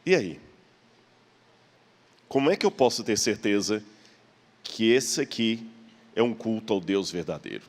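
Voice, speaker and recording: A man's voice: fairly low pitch, lively intonation, measured pace, pauses often. Brazilian male preacher in a reverberant hall. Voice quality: slight stammer. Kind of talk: sermon with rhetorical questions. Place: large church hall.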